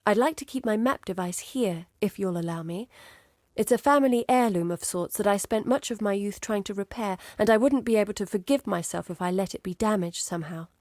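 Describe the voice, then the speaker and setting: An actress playing an inquisitive, earnest young woman scholar in a video game, speaking in an articulate, measured, thoughtful way. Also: medium pitch, a little mellow, no room sound, studio quality, close to an everyday voice. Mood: pleased, hopeful. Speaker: game character, scholar and map researcher. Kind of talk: scripted video game dialogue.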